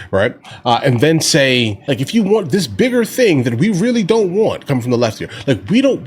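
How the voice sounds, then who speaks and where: A man hosts a reaction show with informal political commentary. His pitch sits in a middle range but moves up and down a lot. He speaks in slangy, conversational phrases and addresses his viewers directly. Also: husky. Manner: informal slangy talk, often addressing listeners as guys. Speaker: reaction video host.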